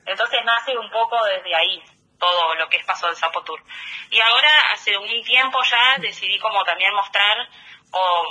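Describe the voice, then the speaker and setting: An Argentine woman in her thirties, guest on a radio interview, with a very bright, sharp voice. She talks loosely and hesitantly, laughing often between thoughts. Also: mid-range voice, low-quality recording. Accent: Argentine Spanish. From Argentine Patagonia. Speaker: teacher and tourism guest.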